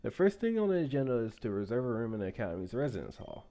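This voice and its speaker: A young man with a deep voice reads a visual novel aloud on his gaming channel. He breaks off into playful, joking asides. His pitch is average for a man. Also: very animated, rapid-fire, warm tone. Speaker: gaming YouTuber.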